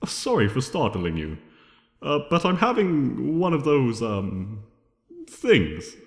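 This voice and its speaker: A man's voice: mid-range voice, wildly swooping pitch, slow pace, heavily acted. A man voicing a flippant, theatrical male chaos creature from a cartoon, glib and playful, in a storybook reading. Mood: sheepish. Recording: long reverb.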